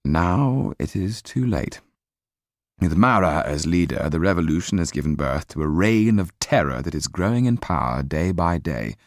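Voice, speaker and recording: A man voicing a measured, grave gentleman with firm convictions in an audiobook story. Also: deep voice, lively intonation, dry recording, studio quality.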